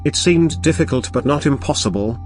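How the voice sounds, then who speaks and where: A man's voice: mid-range voice, animated, brisk, darkish tone, faint music behind. A man narrating a scripted children's moral story as storyteller.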